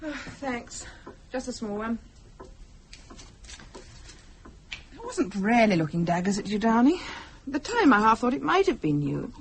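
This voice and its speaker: A woman acting in a radio drama as an uneasy, sharp-witted wife, earnest and questioning. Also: on the high side, big pitch swings, brisk, slight background noise. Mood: dry, thoughtful.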